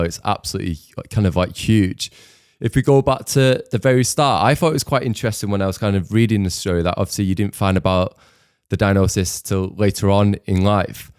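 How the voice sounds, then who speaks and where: A man's voice: lowish voice, fairly quick, a little mellow, smooth, no echo, studio quality. A man interviewing casually as a podcast host, with informal, rambling questions.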